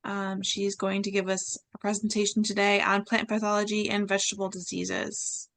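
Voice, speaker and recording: A woman's voice: medium pitch, level tone, normal speed, fairly bright tone, slight background noise. A woman hosting an online class, thanking a speaker, calling a break and introducing the next presenter. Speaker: class host.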